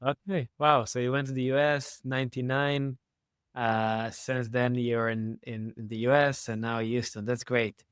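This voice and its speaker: Podcast host guy, mid pitch, upbeat and gushing, dry close mic. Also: level tone, a little slow, smooth, no echo. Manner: upbeat, effusive praise. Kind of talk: interview hosting.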